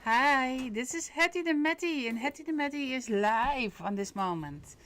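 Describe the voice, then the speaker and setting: A woman's voice: sits high, lively intonation, moderate pace, a bit bright. A middle-aged woman with a Dutch accent, whose first language is Dutch, hosting a casual live stream as a medium and talking hesitantly with many fillers and restarts. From Netherlands.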